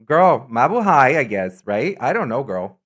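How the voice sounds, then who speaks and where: A man's voice: medium pitch, measured pace. Campy, chatty male streamer from Brazil. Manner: chatty, campy and excitable, trails off into asides. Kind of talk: casual live-stream chat.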